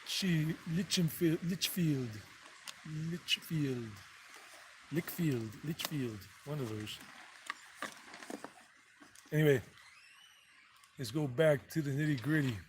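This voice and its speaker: Man, slightly high voice, loose digressive livestream chat, outdoor take with faint background noise. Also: takes it slowly, pauses often, a little mellow, low-quality recording. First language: English. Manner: loose and digressive, repeats figures, self-corrects. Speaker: travel vlogger. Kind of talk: rambling casual livestream chat. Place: outdoors on mountain property.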